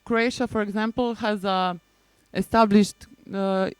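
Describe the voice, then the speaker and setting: A woman with a Serbian accent giving a prepared conference presentation in a hesitant, halting manner, often restarting phrases. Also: mid-range voice, fairly even intonation, smooth, no room sound. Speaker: conference presenter, maritime spatial planner.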